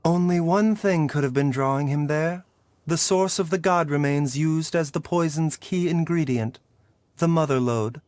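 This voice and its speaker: A man playing a composed, learned doctor in a video game, speaking formally in measured explanations. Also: on the high side, darkish tone, no echo, lightly acted. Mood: grave.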